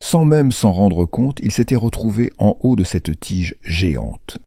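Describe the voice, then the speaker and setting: A man narrating a children's fairy tale from a script in an expressive, playful storytelling manner. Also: lowish voice, a little slow, vocal fry, husky, no echo, clean studio sound.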